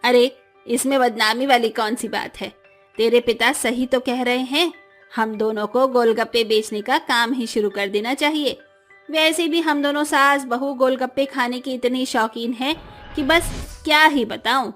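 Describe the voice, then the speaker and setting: A woman voicing excitable, bossy women of a family in a cartoon comedy, emphatic and exclaiming. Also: a little high, measured pace, soft background music. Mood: eager. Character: bossy, excitable mother-in-law and daughter-in-law obsessed with street snacks.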